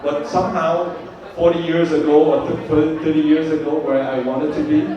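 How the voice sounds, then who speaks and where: A Vietnamese-born man in his fifties, whose first language is Vietnamese, gives a halting, filler-heavy birthday speech to guests at a party. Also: on the high side, lively intonation, muffled recording. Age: 50s. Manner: halting, many fillers and restarts. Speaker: birthday host giving a speech. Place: large room at a party. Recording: echoey party room, mic far off. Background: crowd of guests.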